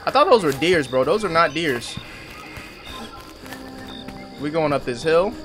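A man's voice: on the high side, expressive, measured pace, fairly bright tone. A man in his twenties doing casual, slangy reaction commentary as he plays a horror game, tossing out joking asides. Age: 20s. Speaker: gaming video host. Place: indoor gaming setup. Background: game music.

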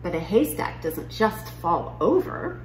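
A woman's voice: fairly low pitch, sing-song, unhurried. A woman reading a children's picture book as an amazed mother, asking eager, incredulous, emphatic questions. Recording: roomy living room with noise.

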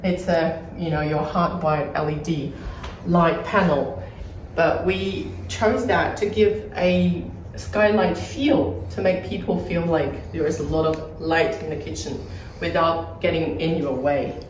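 Aussie woman showing off her kitchen reno, lowish voice, echoey empty room, bit off mic. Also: steady tone, takes it slowly, distant mic, a little hiss or hum. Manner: explanatory, pointing things out as she walks. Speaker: property flipper showing a renovation. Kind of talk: casual home tour walkthrough. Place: bright empty renovated kitchen, indoors. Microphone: phone camera held a few feet away.

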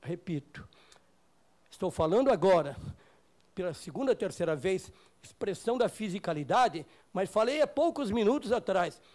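An older man with a Brazilian accent giving an improvised health lecture, emphatic and halting, often repeating and rephrasing himself. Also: sits high, sing-song, darkish tone. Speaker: lecturer, doctor. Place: meeting room with projector screen, indoors.

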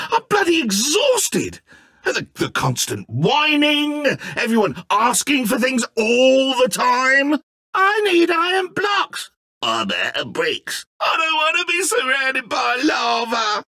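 A man's voice: very high voice, creaky, sharp tone, husky, no echo, clean studio sound, exaggerated voice. An actor playing a petulant, needy, godlike male villain in a video game, with a British accent and a theatrical, sarcastic, stammering manner. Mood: exasperated, whiny. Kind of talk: video game character acting.

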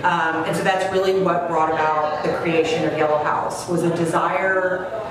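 A woman's voice: on the low side, moderate pace, crowd noise. A middle-aged woman from Florida, a gallery director, talking animatedly in an interview. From Jacksonville, Florida. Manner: animated, gestures while talking. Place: gallery room, indoors. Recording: echoey room.

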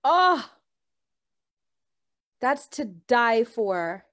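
A young adult woman on a video call from a warmly lit room, reacting with giggly enthusiasm in casual chat. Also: on the high side, big pitch swings, extremely slow, no echo, clean studio sound. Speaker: fellow psychic guest. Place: indoor room with warm lamp light. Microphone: laptop webcam on a video call.

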